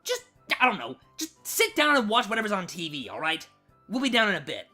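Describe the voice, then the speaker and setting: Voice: medium pitch, huge pitch swings, fairly quick, pushed and bright, faint music behind, voice pushed up. A performer voicing an irritable, bossy female siren with a sarcastic, exasperated manner for a story reading. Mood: weary, exasperated. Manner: sardonic, snapping, exasperated.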